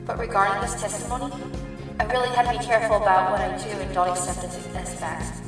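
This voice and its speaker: A woman's voice: mid-range voice, steady tone, quick pace, echoey room, distant mic, over music, lightly acted. A woman voicing a young female rabbit defense lawyer, earnest, flustered and determined, in an audio drama. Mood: uneasy, wary. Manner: earnest, self-doubting, emphatic.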